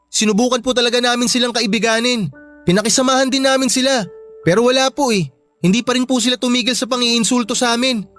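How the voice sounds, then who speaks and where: Radio drama son: high boyish voice, hurt, respectful, pleading, protective of his sibling. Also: a bit bright. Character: young adopted son, hurt, respectful, protective big brother. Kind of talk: emotional radio drama acting. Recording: music bed behind the voice.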